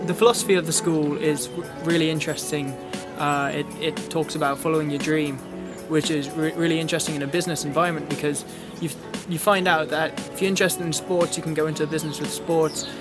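Fast-talking young man, flat delivery. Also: a little high, music in the background. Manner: earnest, with small restarts. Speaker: business student. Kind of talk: testimonial interview.

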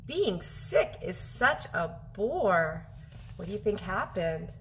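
A woman in her thirties reading a children's picture book aloud as a teacher, animated and expressive, drawing out her phrases for young listeners. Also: medium pitch, slow pace, slight background noise, low-quality recording. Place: home kitchen, indoors.

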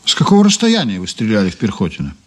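A man's voice: fairly low pitch, wildly swooping pitch, racing. A man playing an insistent, ironic police investigator in a radio play, questioning firmly and politely with emphasis. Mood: firm, accusing. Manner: probing, polite but firm, emphatic. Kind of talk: radio play acting, interrogation.

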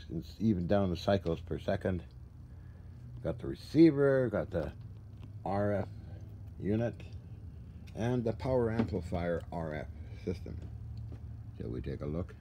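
A man with a low, mellow voice shows equipment as a restorer would, casually and off the cuff. He talks haltingly, muttering folksy asides. The handheld workshop recording carries some faint noise. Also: lively intonation. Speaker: radio restorer. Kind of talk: casual hands-on equipment walkthrough. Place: indoor cluttered workshop. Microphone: handheld camera or phone, behind the lens. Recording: noisy handheld recording with room ambience.

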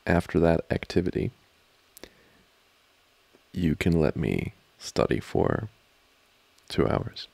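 A man performing a soft ASMR boyfriend roleplay, speaking in a halting, flustered way close to the microphone. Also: low-pitched, animated, creaky, no echo. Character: flustered, distracted studying boyfriend, teasing and affectionate. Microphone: close microphone, dry.

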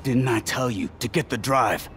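An actor playing a short-tempered, menacing gangster with a gravelly voice in a video game. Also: male voice, medium pitch, normal speed, a bit bright, soft background music, close to an everyday voice. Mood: irritated. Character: menacing, short-tempered male mob thug boss. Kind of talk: video game cutscene acting.

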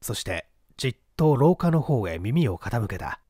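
A man narrating a mystery novel as a dramatic literary reading, with theatrical, deliberate phrasing. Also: medium pitch, very animated, mellow, husky, no room sound, clean studio sound. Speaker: audiobook narrator.

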